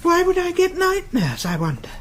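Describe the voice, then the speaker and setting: A woman's voice: extremely high pitch, huge pitch swings, moderate pace, a little hiss or hum, heavily acted. An actress playing an inquisitive, chatty widow in her seventies in a British radio play, with genteel, probing phrasing. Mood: nosy, probing. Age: 70s. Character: inquisitive, chatty seventy-two-year-old widowed woman neighbour.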